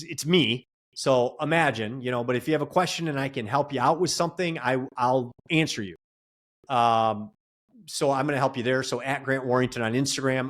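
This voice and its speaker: An earnest man talks through his experience in long, run-on stretches at a mid-range pitch. He offers advice and lessons plainly, in the easy flow of a casual podcast interview. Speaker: real estate investor and coach.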